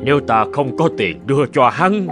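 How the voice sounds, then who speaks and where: Người đàn ông đóng người cha già yếu, lo âu. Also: male voice, medium pitch, very animated, moderate pace, over music, natural voice. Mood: sorrowful. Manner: sorrowful, worried, gentle. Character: frail, anxious, loving old father. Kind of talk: animated fairy-tale acting.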